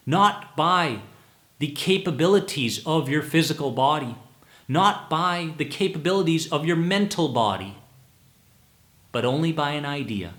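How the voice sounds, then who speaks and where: A man coaching listeners through anxiety speaks slowly and deliberately, leaning hard on repeated words. His fairly high voice rises and falls a great deal as he presses each point. The sound carries the echo of a reverberant room. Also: fairly bright tone. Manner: emphatic, repeats words for stress, slow deliberate delivery. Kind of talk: motivational talk to listeners.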